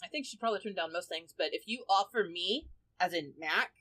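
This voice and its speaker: A woman's voice: on the low side, lively intonation, a little fast, fairly bright tone, low-quality recording. A woman chatting casually as a player on a tabletop podcast, talking emphatically and swearing freely. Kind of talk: casual chat.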